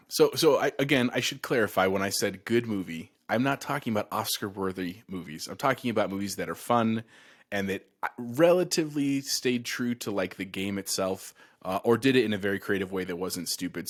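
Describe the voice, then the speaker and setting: Middle-aged man, lower register, enthusiastic, casual podcast co-host.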